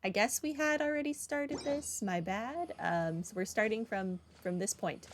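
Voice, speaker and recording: A woman's voice: medium pitch, animated, measured pace, slight background noise. A woman hosting a game playthrough with casual, chatty commentary. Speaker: gaming video host. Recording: soft music behind. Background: game music.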